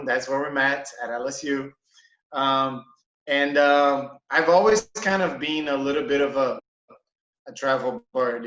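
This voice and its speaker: Brazilian-born guy on a video call, roomy echo, kinda muffled lo-fi sound, talks easy with lots of ums. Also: medium pitch. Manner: relaxed, filler-heavy, halting. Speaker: hotel general manager. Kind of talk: casual interview. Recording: echoey room, dull lo-fi sound.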